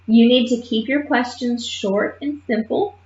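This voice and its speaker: A woman's voice: fairly high pitch, measured pace. Woman lecturing in a roomy classroom. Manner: lively and emphatic, often checks in with "okay?". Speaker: nursing assistant instructor. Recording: muffled roomy classroom recording.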